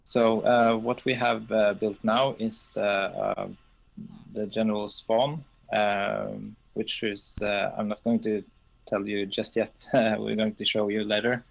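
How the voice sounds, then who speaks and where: Slow, gravelly man with Norwegian roots. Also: lowish voice, flat delivery, rough recording. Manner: halting, many uh fillers. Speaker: server co-owner. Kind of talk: casual live stream chat.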